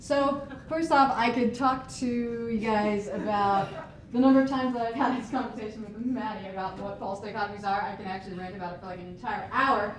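Fast-talking young woman presenting on stage. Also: mid-range voice. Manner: fast-talking, laughing, moves around the stage. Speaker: conference speaker. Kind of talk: energetic presentation. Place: conference room with projector screen. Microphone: handheld mic. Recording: echoey room, camera from far off, noisy.

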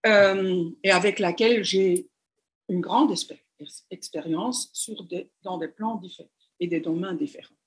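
A woman's voice: fairly low pitch, unhurried. A woman giving a lecture over slides by webcam, speaking French as a second language, with frequent fillers and restarts. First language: not French. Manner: hesitant, many fillers and restarts. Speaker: university lecturer.

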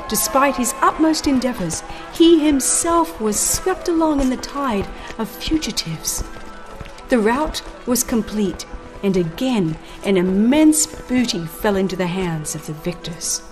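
A woman reading a religious history audiobook in a solemn, dramatic, measured storytelling style. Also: mid-range voice. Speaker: audiobook narrator. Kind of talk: dramatized scripted narration of a religious history book. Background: music.

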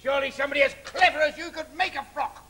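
A man's voice: very high-pitched, steady tone, rapid-fire, sharp tone, heavily acted. A man playing a scheming, spiteful ugly stepsister in a stage pantomime, sneering and exaggerated. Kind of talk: British pantomime stage comedy. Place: large theatre stage, indoors.

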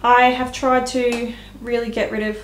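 A woman's voice: a little high, little pitch movement, unhurried. A woman, giving a casual, candid tour of her small laundry room as a home decor vlogger, filmed on a handheld camera. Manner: chatty, candid, self-deprecating. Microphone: handheld camera at close range. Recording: echoey small room, faint room hum.

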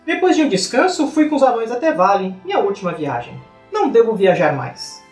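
Man playing a high-voiced, wistful old hobbit. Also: very animated, a little fast, echoey room, faint music behind. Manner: rambling, reflective. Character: elderly male hobbit, wistful, chatty adventurer. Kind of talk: dramatized book reading. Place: small indoor room.

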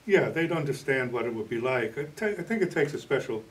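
Guy in his 60s on a tv interview, kinda halting explainer, mic a bit far off. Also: medium pitch, expressive. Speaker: interview guest, playwright. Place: indoor TV studio, green screen.

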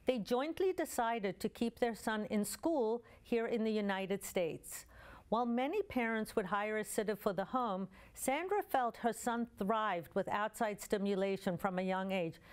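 A woman in her fifties hosting a show, presenting a scripted introduction in a clear, measured way. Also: medium pitch, animated. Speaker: show host. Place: studio with dark curtain.

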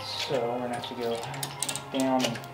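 A man working in a garage, chatting casually and thinking out loud as he goes. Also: medium pitch, completely flat delivery, normal speed, loud music behind the voice. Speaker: garage host. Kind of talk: casual chat while working. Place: garage workshop. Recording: roomy, distant mic, clear background noise.

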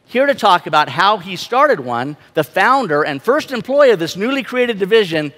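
A man's voice: high voice, sing-song, moderate pace, fairly bright tone. A middle-aged man hosting a live stage event with enthusiasm through a hall PA. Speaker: stage host. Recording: large hall PA, crowd behind.

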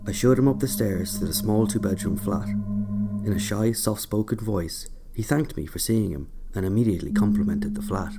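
A man narrating a scripted autobiographical story in a measured, dry way. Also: on the low side, gravelly, heavy background noise. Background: loud music.

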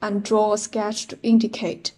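A woman's voice: medium pitch, completely flat delivery, moderate pace, a little mellow. A young woman with a Chinese accent, reading numbered machine setup steps in a plain, methodical way. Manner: plain, methodical step counting. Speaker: product demonstrator. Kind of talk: step-by-step instructional voice-over.

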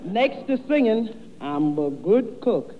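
A woman's voice: mid-range voice, big pitch swings, slow pace. A middle-aged woman, an amateur singer, bantering frankly and jokingly in a 1930s radio interview. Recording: muffled, faintly noisy 1930s radio broadcast.

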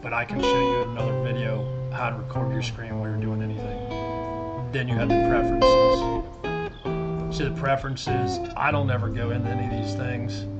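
A middle-aged American man giving a casual, explanatory screen-share software tutorial. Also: mid-range voice, muffled recording. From United States. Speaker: tutorial presenter. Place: indoors at a computer desk. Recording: loud guitar music behind the voice. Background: loud guitar music.

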